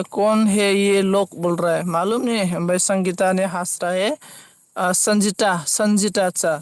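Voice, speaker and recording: High-pitched man, halting livestream chatter. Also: clean tone, no echo, studio quality. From Assam, India. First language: Bodo. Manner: halting, repeats phrases as he reads. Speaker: live streamer. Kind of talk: casual live chat, reading out comments.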